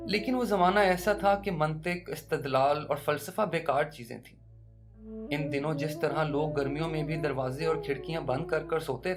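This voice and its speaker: A narrator reading a literary short story in a measured, dramatic way, with rhetorical questions and deliberate pauses. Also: mid-range voice. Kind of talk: literary audiobook narration, scripted first-person short story. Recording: music bed under the narration. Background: instrumental music.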